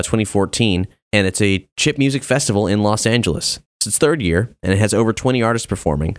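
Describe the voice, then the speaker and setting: A man's voice: fairly low pitch, dry recording, studio quality. A man casually hosting a podcast and making announcements. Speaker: podcast host.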